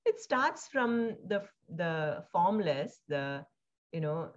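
A woman born in India and raised in Singapore, speaking thoughtfully as an interview guest, with frequent pauses, fillers and restarts. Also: on the low side, big pitch swings, highs cut off. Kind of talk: reflective conversational interview.

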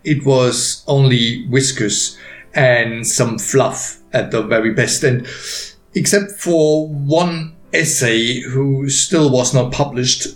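Slow-paced male storyteller in a roomy space. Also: mid-range voice, soft background music. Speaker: author reading his own story. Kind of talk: scripted storytelling narration.

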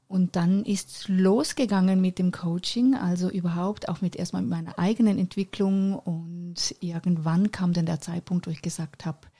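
A woman's voice: lowish voice, warm tone. A middle-aged woman, a life coach and podcast guest, speaking openly and reflectively in a casual interview. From Switzerland.

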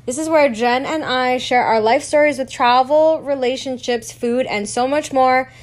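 A woman's voice: sits high, normal speed. A woman podcast host giving a scripted intro in an upbeat, welcoming way.

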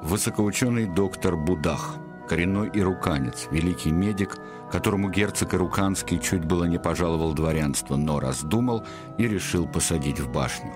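Deep formal male announcer over music. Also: moderate pace. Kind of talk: scripted radio drama narration, dossier-like.

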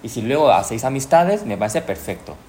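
A woman's voice: very deep voice, sing-song, brisk. A woman chatting casually as a podcast co-host. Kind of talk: casual chat. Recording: clear background ambient noise.

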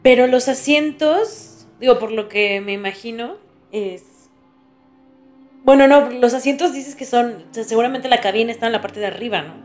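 Higher-voiced woman chatting over music. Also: normal speed, a little mellow. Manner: inquisitive, checking details with questions. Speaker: podcast co-host. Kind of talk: casual chat. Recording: music under the voice. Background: background music.

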